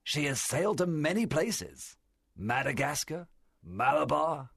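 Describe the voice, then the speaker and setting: A man voicing a sly, genial pirate ship's cook in a storybook, husky and ingratiating. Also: on the high side, very animated, a little slow, a bit bright, no room sound, clean studio sound, pitched above the performer's own voice, full character voice. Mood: friendly. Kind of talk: storybook character dialogue.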